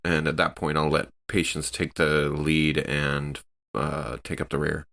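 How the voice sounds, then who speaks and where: Male game player, very deep bass register, hesitant stop-and-start delivery, casual role-play talk. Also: flat delivery, vocal fry, fairly bright tone. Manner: hesitant, halting.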